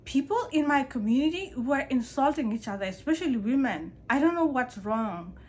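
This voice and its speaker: A woman's voice: normal speed, slightly rough. A woman vlogger from Kenya, talking candidly about letting go of control in a conversational personal monologue. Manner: candid, conversational, animated emphasis with pitch jumps. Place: Canada.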